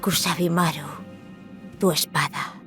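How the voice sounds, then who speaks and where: Child lord for a game, played by a woman: Castilian Spanish, lowish pitch, calm, formal authority. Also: a little slow, lightly acted. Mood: calm, authoritative. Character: composed, noble young boy lord. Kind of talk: dramatic video game dub. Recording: music under the voice.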